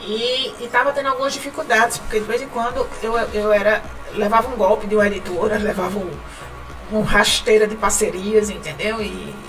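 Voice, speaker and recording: A woman's voice: mid-range voice, steady tone, brisk, distant mic, over music, low-quality recording. A woman from Northeast Brazil with a northeastern Brazilian accent, a podcast guest chatting casually, laughing often and repeating words as she talks. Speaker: guest, writing company founder.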